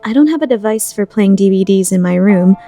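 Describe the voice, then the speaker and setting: A woman's voice: mid-range voice, animated, brisk, warm tone, faint music behind, close to an everyday voice. Cool, haughty young heiress voiced by a woman. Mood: cool, aloof. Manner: formal, composed. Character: cool, refined, haughty teenage rich girl. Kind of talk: anime fandub radio play acting.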